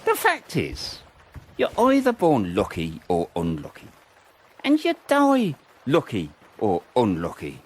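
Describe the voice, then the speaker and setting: Blunt, fatalistic trench private, mid-pitched, hugely animated delivery, for radio drama. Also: male voice, slow pace, husky, exaggerated voice. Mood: bitter, sardonic. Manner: blunt, colloquial, sardonic. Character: cynical, fatalistic WW1 private soldier in the trenches.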